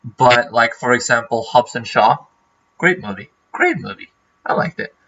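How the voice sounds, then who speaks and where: A man's voice: mid-range voice, animated, normal speed, sharp tone, muffled recording, slight background noise. A man from Norway, a native Norwegian speaker, chatting casually on a livestream and rambling with lots of fillers and restarts. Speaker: streamer.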